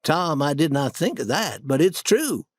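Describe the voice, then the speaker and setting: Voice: mid-range voice, sing-song, a little slow, breathy edge, no room sound, clean studio sound. A storybook reader voicing a timid, superstitious boy who speaks hesitantly and nervously.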